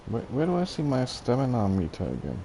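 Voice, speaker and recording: A man's voice: medium pitch, huge pitch swings, brisk, warm tone, slight background noise, poor audio. A man chatting casually as he livestreams a video game, often hesitant and thinking aloud. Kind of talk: casual rambling game stream commentary, chatting with viewers.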